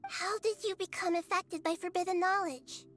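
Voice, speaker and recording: A woman voicing a wise young girl deity in a video game, soft and earnest. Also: very high-pitched, fairly even intonation, normal speed, faint music behind. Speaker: game character.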